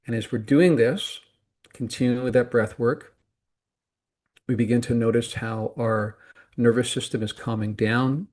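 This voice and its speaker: A man guiding a meditation as a teacher, speaking calmly and deliberately in short phrases with pauses between them. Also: mid-range voice. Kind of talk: guided meditation instruction.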